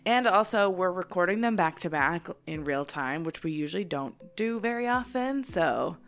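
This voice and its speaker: A woman's voice: lowish voice, lively intonation, moderate pace, fairly bright tone. Giggly chatty woman podcast co-host. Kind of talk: casual history podcast chat.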